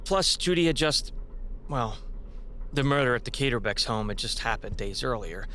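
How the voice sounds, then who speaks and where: Actor as candid male character, bright forward tone, halting natural drama dialogue, faint ambience. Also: mid-range voice, animated, a little hiss or hum. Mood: regretful. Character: frank young man, a policeman's son. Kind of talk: naturalistic audio drama acting.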